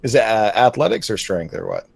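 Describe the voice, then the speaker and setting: A man's voice: lowish voice, huge pitch swings, fairly quick, creaky, a little mellow, breathy edge. A man playing a tabletop game, joking around with friends and laughing often. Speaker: player. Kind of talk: casual chat.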